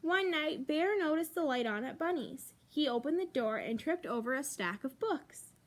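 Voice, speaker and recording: High, very animated woman reading a picture book. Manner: expressive, emphatic pauses for young listeners. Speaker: librarian reading a storytime. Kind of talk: animated picture-book read-aloud for children.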